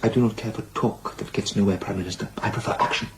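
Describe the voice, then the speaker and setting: A man's voice: fairly low pitch, steady tone, quick pace, heavy background noise, close to an everyday voice. A man playing a volatile middle-aged German dictator in a radio drama, speaking emphatically and declaiming his points. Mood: insistent.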